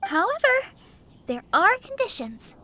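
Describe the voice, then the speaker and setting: Sweet, very high-voiced young healer. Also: female voice, big pitch swings, a little slow, bright, forceful tone, heavily acted. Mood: earnest. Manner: sweet, polite, reassuring. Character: kind, eager-to-help young female church healer. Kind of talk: anime game voice acting.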